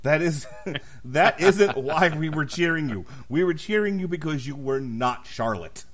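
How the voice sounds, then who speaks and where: A man's voice: a little high, animated, measured pace, fairly bright tone. A man hosting a casual podcast, speaking bluntly and profanely with strong opinions. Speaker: podcast host.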